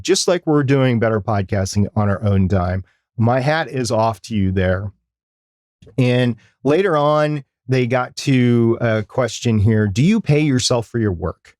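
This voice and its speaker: A man's voice: mid-range voice, a little mellow, dry recording. American man co-hosting a podcast, dry close sound. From United States. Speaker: podcast co-host. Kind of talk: casual podcast discussion.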